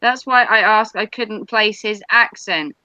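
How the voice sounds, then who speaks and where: An English woman with a bright, forceful voice, joking and teasing through a relaxed chat. The sound is dry and a little dull, as if from a modest home mic. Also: medium pitch, a little slow, clean tone, no room sound. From England, Norfolk. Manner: teasing, jokey banter. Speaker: vlogger hosting a live stream. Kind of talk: casual chat.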